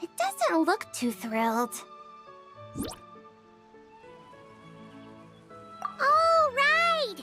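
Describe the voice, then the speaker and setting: Tiny, very high-pitched fairy creature. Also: female voice, huge pitch swings, slow, faint music behind, full character voice. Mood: excited, curious. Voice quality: high, bright, childlike. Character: small cute childlike female fairy creatures, cheerful and earnest. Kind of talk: video game voice acting.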